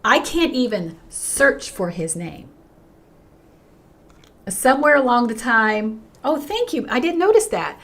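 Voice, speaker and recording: A woman's voice: on the high side, slow. An older woman pastor hosting a livestream, talking earnestly and reading out viewer comments while she is losing her voice. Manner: earnest and emphatic, with frequent restarts and a lively rise when greeting viewers. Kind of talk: unscripted livestream talk, reading chat comments.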